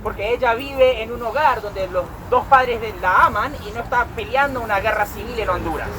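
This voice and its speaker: A man interpreting a speech live at an outdoor rally, rushing and halting with fillers. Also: very high voice, sharp tone, low-quality recording. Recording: outdoor rally with street noise.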